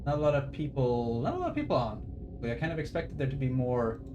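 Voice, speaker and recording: A man's voice: medium pitch, lively intonation, fast talker, muffled recording, noisy background. A man chatting casually on a live stream, rambling with swearing, fillers and restarts. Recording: stream audio with game music behind.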